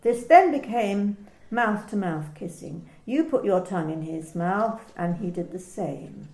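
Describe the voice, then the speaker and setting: A woman's voice: mid-range voice, expressive, unhurried, a little mellow, roomy sound, rough recording. A woman playing a clipped, formal office manager. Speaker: actor in an amateur radio play. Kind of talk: amateur radio play acting.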